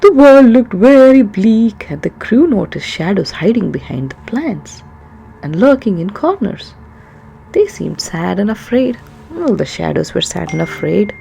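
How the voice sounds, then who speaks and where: Woman, children's storyteller, very lively pitch, creaky edges, chatty asides, questioning, explanatory. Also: a little slow, a little hiss or hum, rough recording. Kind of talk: animated children's read-aloud with asides to young listeners.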